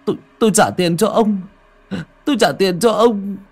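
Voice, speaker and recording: Panicked stammering young guy, played by a man, pleading, husky, faint music. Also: normal speed, darkish tone. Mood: begging. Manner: stammering, pleading, high-pitched.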